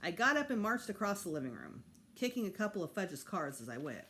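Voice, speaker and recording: Woman, teacher's read-aloud, children's story narration, animated pitch movement, mid-range voice. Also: a little fast, a little hiss or hum. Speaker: teacher reading aloud to students. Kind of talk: expressive children's book narration.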